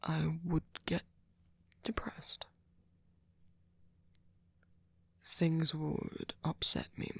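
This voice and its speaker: A man playing a sweet, gentle vampire boyfriend in an ASMR comfort roleplay, speaking haltingly in a breathy, husky whisper. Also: on the high side, dry recording, exaggerated voice. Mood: soft, sympathetic.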